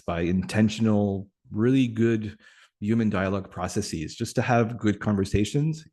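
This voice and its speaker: A man from Atlantic Canada whose first language is French, talking as a guest on a video call, reflective and pausing often with fillers. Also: lowish voice, darkish tone. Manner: thoughtful, many pauses and fillers. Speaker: guest, community facilitator. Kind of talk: reflective interview conversation.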